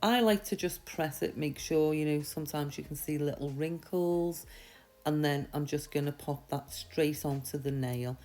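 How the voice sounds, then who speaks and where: A woman with a slightly croaky voice from a mild sore throat, giving a chatty, reassuring, step-by-step tutorial. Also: sits low, normal speed, darkish tone. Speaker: nail art tutorial host. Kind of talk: casual step-by-step tutorial voice-over.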